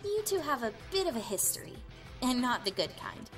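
High-voiced smug villain pony, played by a woman. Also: very animated, a little fast, faint music behind. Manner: mocking, theatrical. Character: smug, taunting young female pony villain posing as princess. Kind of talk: fan audio drama acting.

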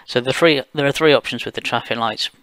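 A man from the UK talking through a software screencast step by step, plain and explanatory, with occasional fillers. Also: medium pitch, quick pace, no echo. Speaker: software engineer giving a tutorial. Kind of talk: screencast walkthrough voice-over.

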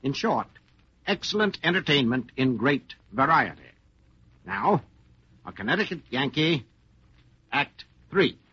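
A man's voice: mid-range voice, sing-song, a little slow, long pauses, sharp tone, a little hiss or hum. A man as a courteous, composed narrator and announcer, formal and polished, in old radio style. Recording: muffled old radio broadcast.

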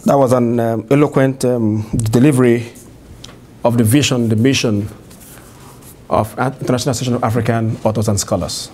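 A middle-aged man with a mid-pitched voice addresses a room as host. His delivery is formal and measured, built on short emphatic phrases, with faint noise behind him. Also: fairly even intonation, darkish tone. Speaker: event host introducing a speaker. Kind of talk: public address, introduction. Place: indoor meeting room.

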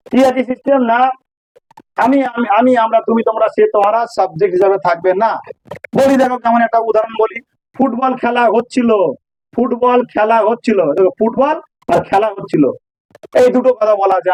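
A man's voice: extremely high pitch, darkish tone, dry recording, low-quality recording. A man with a Bengali accent teaching a grammar lesson informally, explaining step by step and repeating phrases for emphasis.

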